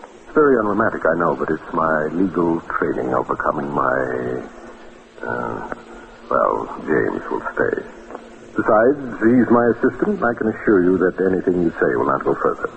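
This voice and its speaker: An actor playing a suave, shrewd defense lawyer, courteous but commanding, questioning with dry formality in old-time radio mystery style. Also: male voice, a bass voice, very animated, normal speed, creaky, full character voice. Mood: urbane, wry. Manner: urbane, dryly formal, probing questioner. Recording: muffled, hissy vintage radio recording.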